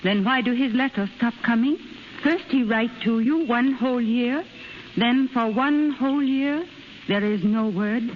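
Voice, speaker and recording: An actress in a 1930s radio drama playing a loyal, doubting maid, grave and blunt in broken English. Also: female voice, a little high, a little slow, lightly acted. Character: loyal Japanese maidservant, sombre, doubting, blunt. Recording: muffled hissy 1930s radio broadcast.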